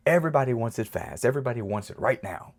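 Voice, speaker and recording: A man's voice: mid-range voice, sing-song, fast. A man hosting a podcast who delivers a preachy motivational monologue, repeating phrases and asking rhetorical questions. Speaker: podcast host.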